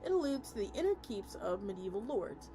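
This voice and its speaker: A woman streamer reads a story aloud in an expressive way, in a medium-pitched voice. She sometimes trips on a phrase and starts it again. Music plays clearly behind her. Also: fairly quick. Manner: stumbles over words and restarts lines. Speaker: VTuber streamer. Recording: music playing under the voice.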